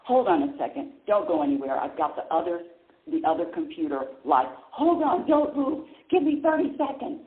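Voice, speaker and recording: A woman hosts a live call-in radio show, chatting loosely and circling back on her words. Her pitch swings widely from phrase to phrase. The sound is thin like a phone line and carries a roomy echo. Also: very animated, a little fast. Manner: chatty, rambling, restarts often, laughs easily.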